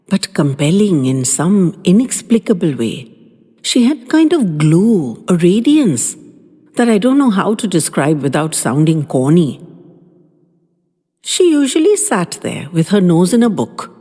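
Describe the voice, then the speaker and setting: A woman narrating audio fiction in an unhurried, expressive storytelling manner. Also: lowish voice, slightly rough. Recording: long reverb, faint music underneath.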